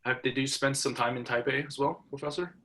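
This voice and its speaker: A man's voice: mid-range voice, fairly even intonation, pushed and bright. A young man from Hong Kong chatting casually with his professor, full of fillers and restarts. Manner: halting, many fillers and restarts. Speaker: student. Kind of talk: casual conversation.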